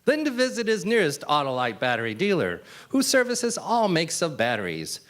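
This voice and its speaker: A man playing an old-time radio announcer, giving a polished sales pitch in a 1950s-style commercial. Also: wildly swooping pitch, normal speed, a bit bright. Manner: polished, smooth sales patter.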